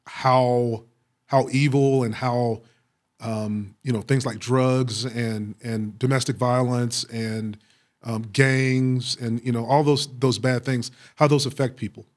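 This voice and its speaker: A middle-aged American man from Texas answering interview questions with personal stories, speaking reflectively with frequent pauses and repeated phrases. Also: medium pitch, level tone. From Waco, Texas, United States. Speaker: police chief, interview guest.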